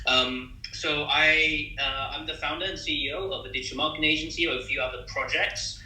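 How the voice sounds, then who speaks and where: Bright-voiced man on a panel. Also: on the high side, fairly even intonation, fairly quick, reverberant, low-quality recording. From born in China, raised in the UK. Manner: friendly, many fillers, recalls memories. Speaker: agency founder, panel guest. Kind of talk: casual panel chat.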